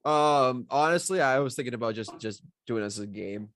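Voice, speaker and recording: A man's voice: mid-range voice, brisk, a bit bright, no echo, studio quality. A man talking casually on a video call as a writer discussing his work, rambling and cutting himself off. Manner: informal, rambling, self-interrupting. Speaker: writer of the script being discussed. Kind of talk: casual chat.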